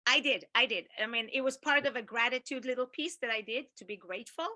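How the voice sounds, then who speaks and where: Female singer on a call, bright and fairly high tone, easygoing storytelling. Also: fast talker. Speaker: singer and speaker on a training call. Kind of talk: sharing an experience in group chat.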